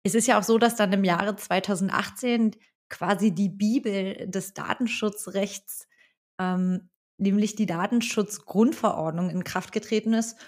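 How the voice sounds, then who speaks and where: A woman's voice: mid-range voice, fairly even intonation, measured pace. A woman hosting a podcast, reading a careful introduction and asking questions with an occasional filler. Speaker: podcast host.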